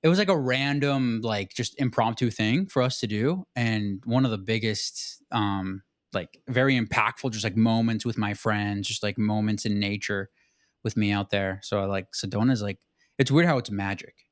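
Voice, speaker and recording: A man's voice: lowish voice, normal speed, dry recording. Laid-back man saying "dude", dry sound. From southern Arizona. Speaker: podcast host. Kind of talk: casual chat.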